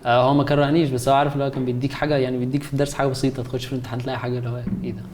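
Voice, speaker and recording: A man's voice: mid-range voice, flat delivery, rapid-fire, darkish tone, slight background noise. A man in his twenties with an Egyptian accent, a guest chatting casually and hesitantly, with many fillers and restarts. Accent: Egyptian Arabic. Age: 20s. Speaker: guest, concept artist. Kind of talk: casual chat.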